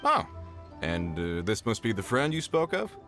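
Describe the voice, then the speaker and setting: A man voicing a middle-aged, hearty and boastful employer in a video game, with an expressive, emphatic delivery. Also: fairly low pitch, normal speed, over loud music. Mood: smug. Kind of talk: animated video game voice acting.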